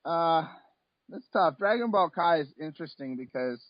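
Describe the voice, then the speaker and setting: A man's voice: fairly high pitch, lively intonation, moderate pace, no echo. A man in his thirties speaking casually as an interview guest, in a hesitant, rambling conversational way. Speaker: interview guest, comic and anime artist. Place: remote call from home. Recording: slightly dull, lo-fi call audio.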